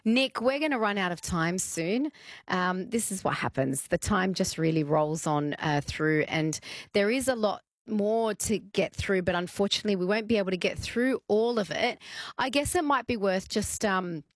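A woman's voice: medium pitch, lively intonation, moderate pace, no echo. A woman hosting a live radio talk show, chatty and full of fillers and restarts. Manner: chatty and warm-hearted, with many fillers and restarts.

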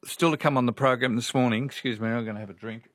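A man's voice: mid-range voice, steady tone, fast, fairly bright tone, no echo, studio quality. A man with an Australian accent hosting a radio program, chatting casually and reading out listener messages. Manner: chatty, rambling, reads listener messages aloud. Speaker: radio host.

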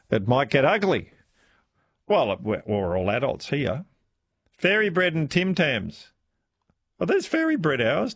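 A man's voice: medium pitch, slightly rough, no room sound, clean studio sound. A man hosting a talkback radio show, reading listener messages in a friendly, conversational way. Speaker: radio host. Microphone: studio microphone.

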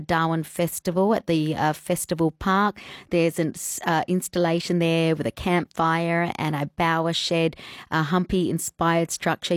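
A woman presents a radio segment in a relaxed way, with occasional ums. Her voice is fairly low and sounds close and dry on a studio microphone. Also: dry recording.

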